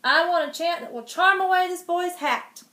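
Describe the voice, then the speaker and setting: A woman voicing a loud, demanding king in a storybook reading. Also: extremely high pitch, moderate pace, reverberant, voice pushed up. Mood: impatient.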